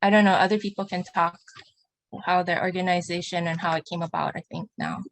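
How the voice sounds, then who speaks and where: A woman speaking on a panel in a halting way, often restarting her sentences. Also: on the low side, steady tone, no echo, rough recording. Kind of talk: online panel talk.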